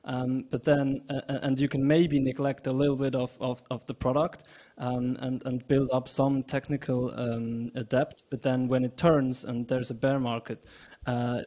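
Young Swiss-born male panelist, warm and even, earnest with many restarts. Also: medium pitch. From Switzerland. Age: young adult. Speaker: startup CEO panelist. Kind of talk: video call panel discussion. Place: small room at home. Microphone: large podcast mic.

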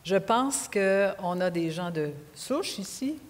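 A woman's voice: mid-range voice, expressive, slow pace. A woman in her sixties with a Quebec French accent, speaking off the cuff as a panelist on an auditorium stage. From Quebec, Canada. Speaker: panelist, retired judge. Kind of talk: unscripted panel discussion. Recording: reverberant hall, noisy background.

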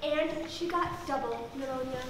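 A woman's voice: sits high, normal speed, natural voice. A young woman playing a sour, bossy, sarcastic supermarket cashier in an amateur stage comedy, snarky and complaining. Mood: gossipy. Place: indoor theatre stage. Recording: echoey hall from far off, noisy audience.

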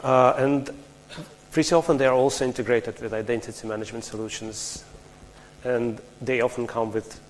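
A man's voice: medium pitch, steady tone, moderate pace, slight background noise. A man from Russia, giving a technical conference talk in a large lecture hall, explaining step by step with frequent restarts and asking the audience questions. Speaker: conference speaker.

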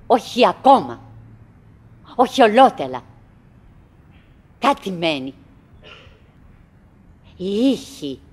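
An actress playing a chattering, wistful, anxious woman in a theatrical radio drama monologue, halting and repeating herself, swinging between murmurs and cries. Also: mid-range voice, big pitch swings, darkish tone, husky. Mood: wistful, musing.

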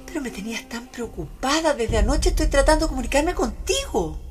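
A woman's voice: high voice, animated, a little fast, a little hiss or hum. An actress playing a caring, worried female friend in a radio drama, speaking in an anxious, urgent manner. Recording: background music under voice.

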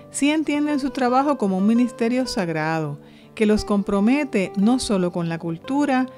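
A woman narrates a cultural documentary from a script. Her pitch is ordinary for a woman, and faint music plays behind her. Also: darkish tone.

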